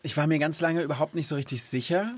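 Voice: medium pitch, quick pace, husky. A podcast host chatting casually and reflectively for children, in an encouraging, conversational way.

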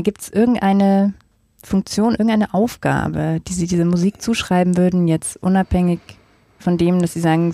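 Mellow woman interviewer, dry sound. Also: fairly low pitch, no room sound. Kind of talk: measured interview questioning.

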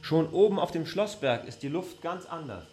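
Actor doing insistent guy in a radio play, lively pitch, music clearly under him. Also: normal speed, natural voice. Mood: gently insistent. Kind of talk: dramatised literary audio play.

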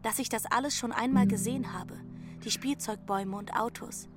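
A woman's voice: fairly high pitch, moderate pace, music in the background, natural voice. A woman playing a dreamy, stubborn teenage runaway girl who tells her story intimately in short plain phrases in a radio drama. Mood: brooding, dreamy. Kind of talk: first-person radio drama narration with dialogue.

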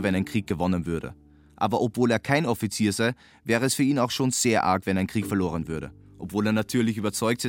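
A man narrates a literary story, relaying what its characters say. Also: fairly low pitch. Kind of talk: literary narration in reported speech. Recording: music behind the voice. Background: music.

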